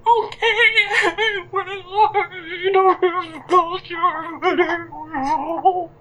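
A timid giant spider creature in a very high, tremulous falsetto. It speaks extremely slowly, whimpering through each halting phrase with sweet, weepy self-doubt, as in a radio play. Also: male voice, steady tone, sharp tone, full character voice. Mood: tearful, apologetic. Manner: halting, whimpering, drawn-out delivery.

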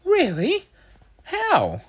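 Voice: very high-pitched, huge pitch swings, very slow, voice pushed up, full character voice. A narrator voicing a cheerful, teasing mum in a storybook, playful and exclaiming, firm when cross. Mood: amused.

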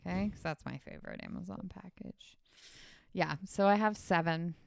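Chatty woman with a low, smooth voice. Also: moderate pace, no room sound, studio quality. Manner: chatty, laughs often, lots of fillers. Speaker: podcast co-host. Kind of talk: casual chat.

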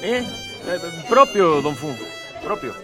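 A man's voice: on the high side, animated, slow, distant mic, over music. An actor with a Mexican accent playing a humble everyman hero in a radio drama, talking colloquially and a bit hesitantly. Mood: polite, hesitant. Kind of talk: scripted radio drama acting.

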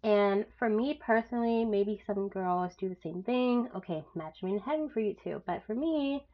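A young woman with a stuffed-up nose from a cold, giving blunt dating advice in a frank, animated way, with sudden loud rises and some swearing. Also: mid-range voice, normal speed, dull audio. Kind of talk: casual advice monologue.

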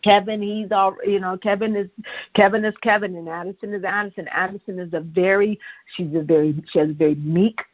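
Woman pastor, preaching on a live call. Middle pitch, dry and dull line. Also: fairly even intonation, a little fast, no room sound. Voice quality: strong voice. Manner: preachy call-and-response with the listeners. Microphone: phone line.

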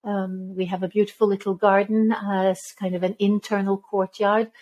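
A woman from Norway in her fifties speaks with a mellow, rather even voice. She answers interview questions at a measured pace, pausing on ums and restarting phrases. Her tone stays level. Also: medium pitch, muffled recording. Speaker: head of school, guest.

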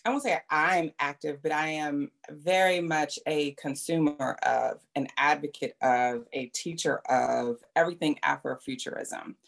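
Thoughtful woman host, lower voice. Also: steady tone, measured pace, creaky, few pauses. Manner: thoughtful, reflective, builds long points. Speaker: podcast co-host. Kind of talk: interview conversation.

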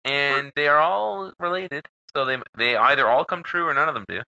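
A man's voice: fairly high pitch, normal speed, creaky, pushed and bright. A man with a Canadian accent co-hosting a podcast, joking around and swearing freely. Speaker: podcast co-host. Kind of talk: casual banter. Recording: slightly muffled podcast audio.

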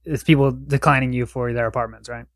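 A man's voice: mid-range voice, fairly even intonation, quick pace, fairly bright tone, no echo, clean studio sound. A man hosting a podcast, asking questions in a chatty way and often ending his points with "right?". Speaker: podcast host. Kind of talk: casual interview chat.

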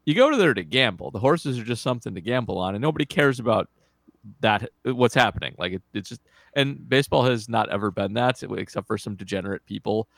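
A man with a low, gravelly voice co-hosts a casual sports podcast. He talks with a dry, wry, grumbling edge, recorded close and dry. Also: lively intonation, a little fast, a bit bright, no echo. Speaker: podcast co-host.

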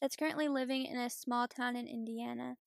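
A woman in her twenties reading a question aloud and answering it for a podcast, measured. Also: on the high side, fairly even intonation, gravelly, dry recording, studio quality. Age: 20s. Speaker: podcast guest.

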